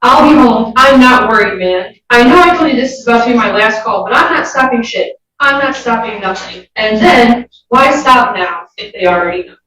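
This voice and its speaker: A woman's voice: a little high, normal speed, hardly any pauses, a bit bright. Very echoey, distant female prosecutor. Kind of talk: formal argument in court. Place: indoor courtroom. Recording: very echoey courtroom, distant mic.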